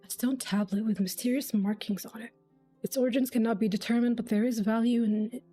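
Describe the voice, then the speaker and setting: Mellow, higher-pitched woman reacting to a game. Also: steady tone, brisk, soft background music. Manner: sweary, thinking aloud. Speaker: game streamer. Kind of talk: casual reaction commentary over a game.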